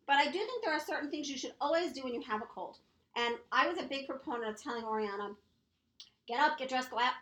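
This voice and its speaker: Woman with a cold, high voice, emphatic, kinda echoey room. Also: lively intonation, fast talker, a bit bright. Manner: emphatic, motherly advice-giving. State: recovering from a cold, coughing. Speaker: podcast co-host. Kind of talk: casual chat.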